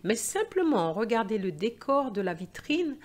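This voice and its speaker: A woman teacher reading and presenting in a slow, expressive storytelling style for language learners. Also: a little high.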